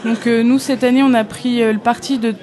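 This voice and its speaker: A woman's voice: a little high, steady tone, fairly quick, warm tone. A woman guest chatting casually at a live convention recording, explaining with many fillers and laughing often. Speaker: guest, event organizer. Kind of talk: live podcast chat. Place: convention hall, live audience.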